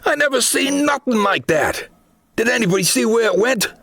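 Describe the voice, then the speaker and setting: A man voicing rattled, foul-mouthed soldiers in an audiobook, exclaiming in rough colloquial speech. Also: very high-pitched, expressive, moderate pace, fairly bright tone, dry recording, clean studio sound, voice pushed up, exaggerated voice. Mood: shaken, alarmed.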